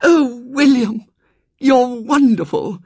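A man doing a bossy, high-voiced little girl. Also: sing-song, a little fast, a bit bright, dry recording, studio quality, exaggerated voice. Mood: delighted. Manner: commanding, high and breathless.

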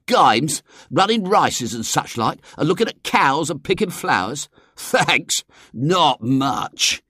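A man's voice: a little high, lively intonation, normal speed, fairly bright tone, dry recording, studio quality, voice pushed up. A man voicing a blunt, scornful, offhand boy with a working-class English accent. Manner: offhand, disdainful. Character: scornful, blunt poor boy in a big cap.

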